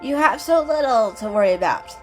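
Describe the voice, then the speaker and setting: A woman's voice: fairly high pitch, expressive, fairly quick, pitched above the performer's own voice, close to an everyday voice. Female reader as a young male robin, friendly, frank, chirpy, punchy delivery, storybook character, faint music underneath. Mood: curious. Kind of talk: dramatic storybook reading. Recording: music behind the reading.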